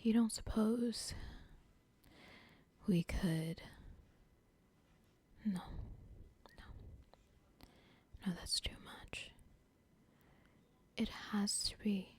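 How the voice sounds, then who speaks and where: A woman whispering as a scheming cartel boss. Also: mid-range voice. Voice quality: breathy whisper. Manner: slow, close whispering, plotting aloud. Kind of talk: ASMR roleplay.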